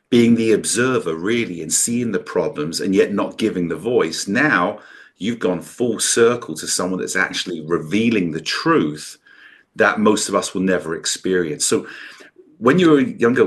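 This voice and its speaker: A man with a fairly low voice hosts an interview podcast. He talks with energetic enthusiasm as he introduces his guest and asks his questions. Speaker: podcast host.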